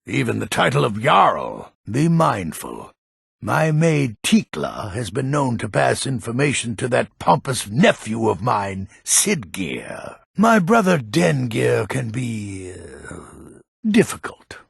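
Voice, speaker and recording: An actor playing a grumpy, suspicious old man in a fantasy video game, with a gravelly, husky voice and a grumbling, wary manner. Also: medium pitch, very animated, slow, no room sound, clean studio sound, heavily acted. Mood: bitter, wary. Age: older.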